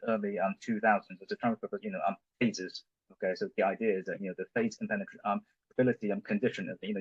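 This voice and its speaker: A low, husky male voice, a native Chinese speaker, lecturing in a halting way full of "um" and "you know". The sound is dry and close, with a dull, lo-fi video-call quality. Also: dry recording. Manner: halting, heavy with fillers. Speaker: conference presenter. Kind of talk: academic lecture.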